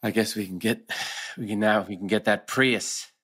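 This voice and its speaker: A man's voice: fairly low pitch, fairly even intonation, normal speed, a bit bright, breathy edge. A man acting an earnest, reassuring middle-aged husband in a TV drama table read.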